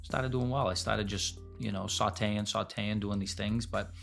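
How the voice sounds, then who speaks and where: A man's voice: on the low side, fairly even intonation, moderate pace, creaky, fairly bright tone, soft background music. A man in his forties telling his business story as a podcast guest, blunt and casual, swearing freely. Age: 40s. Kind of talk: candid storytelling interview.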